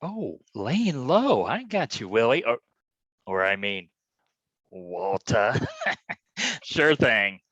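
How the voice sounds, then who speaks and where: A man's voice: medium pitch, sing-song, slow pace, pauses often, a bit bright, no room sound, lo-fi sound, exaggerated voice. An actor playing a wisecracking, stubborn private detective who talks in slangy tough-guy style in a staged reading. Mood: cocky, teasing. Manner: slangy, folksy tough-guy talk peppered with "huh?". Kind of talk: hardboiled detective stage acting.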